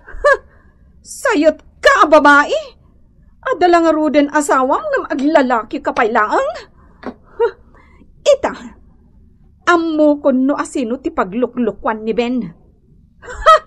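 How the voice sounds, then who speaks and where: A woman's voice: high voice, sing-song, slow pace, pauses often, a bit bright, full character voice. A woman with an Ilocano accent playing a jealous, quarrelsome wife who scolds melodramatically in a radio comedy drama. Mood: bitter, scheming.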